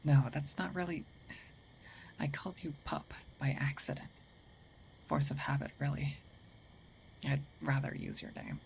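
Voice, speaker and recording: A woman playing a gruff but caring, territorial werewolf in an intimate ASMR roleplay, hesitant and often dropping into breathy half-whispers. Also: a bass voice, fairly even intonation, measured pace, long pauses, a little mellow, slight background noise, exaggerated voice, poor audio. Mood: flustered. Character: gruff female werewolf, territorial yet caring, awkward protector.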